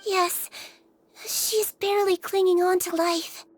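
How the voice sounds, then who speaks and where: A woman voicing a calm, caring young head nurse from a small non-human people in a game. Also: very high-pitched. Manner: soft, caring, measured. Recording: game audio with music behind.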